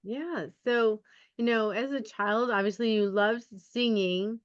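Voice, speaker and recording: A woman's voice: on the high side, unhurried, no echo. A woman podcast host reads a guest introduction and then asks questions, with the occasional small slip. Her voice is recorded dry, with no room sound, and the audio is slightly dull. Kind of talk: read introduction and casual interview.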